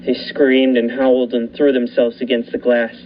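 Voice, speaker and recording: A young man voicing a lighthouse keeper who narrates a suspenseful radio drama in a measured, dramatic way with lingering pauses. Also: medium pitch, level tone, lightly acted. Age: young adult. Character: male lighthouse keeper recounting a nightmare. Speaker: student actor. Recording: muffled with faint hiss.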